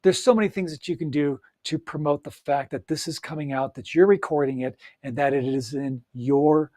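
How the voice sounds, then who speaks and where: A man's voice: a little high, normal speed, a little mellow, no echo, studio quality. A man giving an upbeat, encouraging solo talk as a coach, speaking straight to the listener with frequent fillers and restarts. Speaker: audiobook coach.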